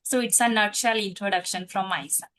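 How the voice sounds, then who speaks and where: A woman's voice: mid-range voice, steady tone, fairly quick, a bit bright, a little hiss or hum. A woman from Punjab with an Indian English accent, hosting a video call interview in a polite, formal and careful manner. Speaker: host, spoken English teacher.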